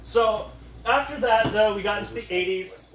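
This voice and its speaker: A man with a high-pitched voice gives a casual comic mock lecture as a panel co-presenter. He is sarcastic while pretending to be serious. The room echoes and he sounds a little way from the microphone. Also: moderate pace, a little hiss or hum. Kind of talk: casual comedic mock lecture to a live audience. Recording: roomy echo, picked up from a distance.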